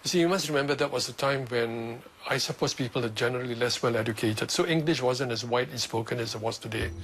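An older man in an interview, reflecting thoughtfully on the past in a measured way. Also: medium pitch, a bit bright, a little hiss or hum. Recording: interview with music underneath.

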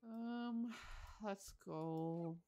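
A woman's voice: medium pitch, very slow, warm tone, soft background music. A woman livestream host giving casual game commentary, musing aloud with enthusiastic exclamations.

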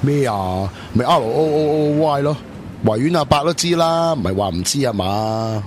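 A man's voice: medium pitch, lively intonation, moderate pace, darkish tone, slight background noise, natural voice. A man with a Hong Kong Cantonese accent, playing a blunt, mocking young man in a radio drama. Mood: scornful. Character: sarcastic young man teasing friends.

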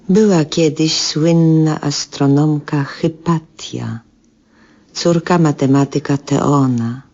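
A dull old radio drama recording carries a solemn, worried woman. She speaks very slowly and gravely, with a gravelly, husky edge to her voice. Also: sits low, a little mellow, full character voice. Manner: slow, deliberate, grave delivery. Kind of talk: 1970s Polish radio drama acting.

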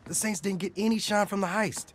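A man's voice: high-pitched, steady tone, brisk. An actor playing a driven young male gang strategist in a video game, talking emphatically and persuasively. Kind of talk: video game cutscene acting.

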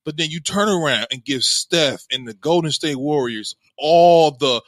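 A man co-hosting a sports podcast, arguing his points loudly and repeating himself to hold the floor. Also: a little high, expressive, moderate pace, dry recording. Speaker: podcast co-host. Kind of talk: heated casual sports debate.

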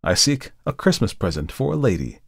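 A man voicing a brooding, agitated gentleman in an audiobook, speaking in a fervent, theatrical and insistent way. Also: on the low side, big pitch swings, brisk, creaky, slightly rough, no echo, clean studio sound, pitched above the performer's own voice, full character voice. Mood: smooth, rehearsed. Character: intense, brooding, agitated gentleman customer with a secret. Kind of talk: audiobook character reading.

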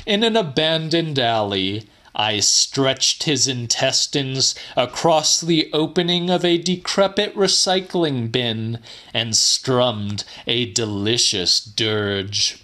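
Slow-paced man narrating horror. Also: medium pitch, pushed and bright. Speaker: audiobook narrator. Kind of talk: dramatic horror audiobook narration.

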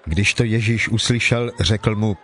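A man's voice: fairly low pitch, fairly even intonation, fairly bright tone, a little hiss or hum. A man reading scripted narration for a dramatized scripture production. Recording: faint music behind the voice.

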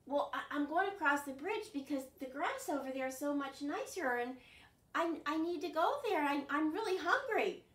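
A woman's voice: high voice, expressive, normal speed, fairly bright tone, voice pushed up. A woman voicing a nervous male billy goat who stammers and begs. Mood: pleading. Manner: stammering, pleading. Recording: roomy echo, distant mic.

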